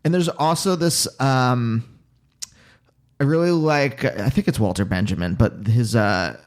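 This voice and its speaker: Halting, musing American man, Pennsylvania accent. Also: mid-range voice. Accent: Pennsylvania with a touch of New York. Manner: halting, self-interrupting, musing. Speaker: podcast host. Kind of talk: casual reflective conversation.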